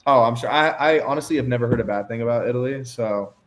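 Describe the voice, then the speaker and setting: A young man chatting casually on a speed date, relaxed and agreeable, often repeating himself. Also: mid-range voice, fast talker. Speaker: dating show lead.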